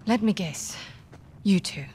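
An actress playing a tough, commanding mercenary leader in a game, curt and controlled with quiet menace. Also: female voice, medium pitch, slow pace, slight background noise, natural voice. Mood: cold demand. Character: tough, commanding female mercenary leader holding captives at gunpoint.

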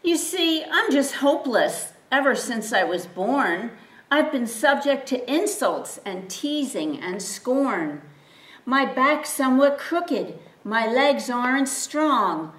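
A woman voicing a timid, weepy young male donkey who pleads in a high, fretful voice. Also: animated, slow, hardly any pauses. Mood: despairing. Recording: roomy, distant mic.

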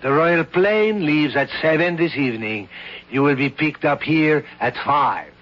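A man's voice: fairly high pitch, measured pace, natural voice. A man playing a courtly grand duke. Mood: formal. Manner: formal, ceremonious phrasing. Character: courtly foreign grand duke, formal and polished. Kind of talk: 1970s radio drama acting. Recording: dull vintage radio broadcast.

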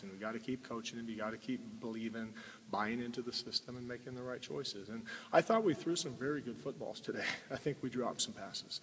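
A man in his forties, a college football head coach, giving a halting postgame interview indoors and repeating his words often. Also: medium pitch, fairly bright tone. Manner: halting, repeats words, many filler sounds. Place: indoor room before a sponsor backdrop. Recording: long room reverb with faint hiss.

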